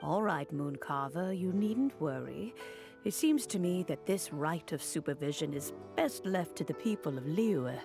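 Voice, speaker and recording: A poised noblewoman who rules a city in a game speaks slowly and graciously. Her voice sits low and moves with lively lilts. Game music swells behind her. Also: gravelly, heavily acted. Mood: reassuring. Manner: measured, gracious and commanding.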